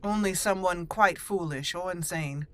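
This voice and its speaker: A woman's voice: fairly low pitch, unhurried, no room sound, lightly acted. A woman voicing a stern, skeptical elf mage while roleplaying a video game, speaking in a firm, plain way.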